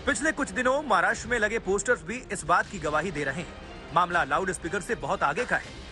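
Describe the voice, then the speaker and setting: High-pitched, bright male news narrator. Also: brisk. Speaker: news reporter narrating a video package. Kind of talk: dramatic scripted news narration. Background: music bed.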